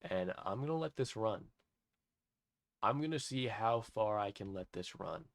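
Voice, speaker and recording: An eighteen-year-old man ranting excitedly in a personal vlog, amazed and repeating himself, often restarting sentences. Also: on the low side, measured pace. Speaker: vlogger.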